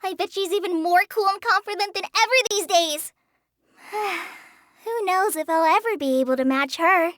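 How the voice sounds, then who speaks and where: A woman's voice: very high-pitched, no room sound, clean studio sound. A woman voicing a shy, earnest teenage girl in a video game, hesitant and apologetic in the way she talks. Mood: admiring, wistful. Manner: hesitant, apologetic, soft-hearted, halting with stammers. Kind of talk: video game character voice lines.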